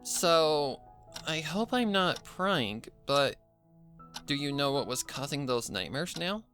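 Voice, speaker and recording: A narrator voicing a young female unicorn pony who is caring, curious and modest, speaking in a gentle, earnest, slightly hesitant way for a story reading. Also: medium pitch, very animated, takes it slowly, faint music behind, voice pushed up. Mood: curious, friendly.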